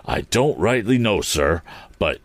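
A man's voice: deep voice, sing-song, unhurried, no echo, exaggerated voice. A man voicing a folksy gardener in a storybook, respectful but slyly knowing. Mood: sly, helpful. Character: deferential male gardener, sly and folksy.

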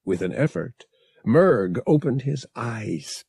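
A man reading an adventure novel as an audiobook narrator, in a measured, deliberate and dramatic storytelling style. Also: mid-range voice, expressive, gravelly, mellow, husky, no echo, studio quality.

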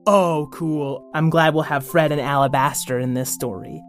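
Male kids' podcast host, lively delivery. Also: a little high, normal speed, faint music behind.

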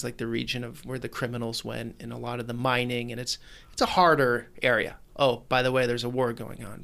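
A man in casual conversation, telling animated, rambling stories about his travels and documentary work. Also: medium pitch, gravelly. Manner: animated storyteller, rambling with restarts. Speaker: guest, documentary maker.

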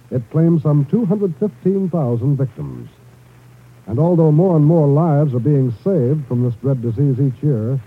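A man's voice: mid-range voice, slightly rough. An American man announcing in a formal, earnest, emphatic 1950s radio style, reading a scripted appeal. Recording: tinny, muffled 1950s radio recording.

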